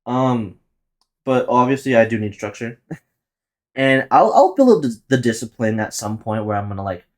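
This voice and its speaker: A young man near twenty hosts his own podcast alone, rambling through his plans in a loose, profane, offhand way. His voice sits in an ordinary middle pitch, and he often laughs at his own jokes. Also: animated, moderate pace. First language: Tagalog. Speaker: podcast host. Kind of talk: casual solo podcast monologue.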